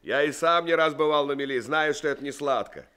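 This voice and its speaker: An actor playing a plainspoken, kindhearted truck driver in an old Soviet radio play. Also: male voice, fairly high pitch, a little fast, fairly bright tone, clear, resonant tone, close to an everyday voice. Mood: gruff. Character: kindhearted middle-aged truck driver, blunt. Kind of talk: 1970s Soviet radio drama acting.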